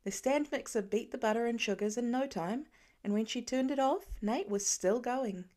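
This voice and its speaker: A woman narrates fiction from a script. Her voice is fairly high, and she reads as an amateur audiobook narrator. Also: normal speed, dark tone.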